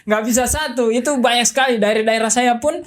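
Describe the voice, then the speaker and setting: A man's voice: extremely high pitch, brisk. A man talking as a guest chef in a casual chat, hesitant, with frequent fillers and restarts.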